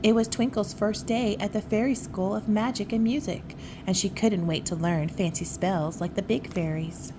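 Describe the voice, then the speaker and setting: A woman reading a picture book aloud to young children, with gentle, admiring, chatty asides. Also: medium pitch, steady tone, normal speed, hardly any pauses, background noise.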